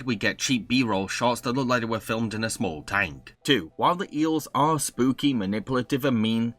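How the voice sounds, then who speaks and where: A man's voice: medium pitch, a bit bright. British male reviewer; mid-range voice, dry opinionated scripted delivery over faint music. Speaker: video reviewer and host.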